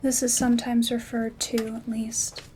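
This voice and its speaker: A woman playing a calm, gentle witch in an ASMR roleplay, soft-spoken and often slipping into whispers as she gives step-by-step instructions. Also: a little high, moderate pace, a little hiss or hum, lightly acted. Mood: calm, focused. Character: calm, gentle witch crafting herb bundles. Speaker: ASMR host. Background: rain sounds.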